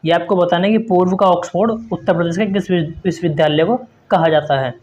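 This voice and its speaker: A man's voice: high-pitched, quick pace, a little mellow, a little hiss or hum. A man teaching an exam-prep quiz lesson, reading out questions and explaining answers in an instructive way with repeated emphasis.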